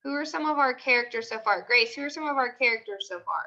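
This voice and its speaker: A woman teaching a class, asking questions and repeating questions and answers slowly for her pupils. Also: on the high side, animated, bright, forceful tone, highs cut off. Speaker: teacher leading a class read-aloud.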